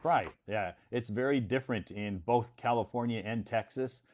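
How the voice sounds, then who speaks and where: An American man hosting a casual English conversation lesson, talking in a relaxed, reassuring way and often repeating himself. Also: mid-range voice, normal speed. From United States. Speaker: English teacher and host.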